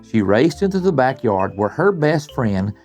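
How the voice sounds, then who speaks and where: An older man reading a bedtime story to children, expressive, with chatty asides and questions to his listeners. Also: medium pitch, measured pace, soft background music. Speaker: guest storybook reader, retired principal.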